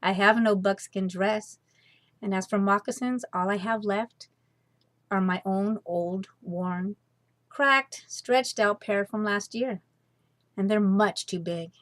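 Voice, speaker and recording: A woman voicing a poor, apologetic village father in a storybook, in a sorrowful, measured manner. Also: mid-range voice, no room sound, close to an everyday voice. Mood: apologetic, weary.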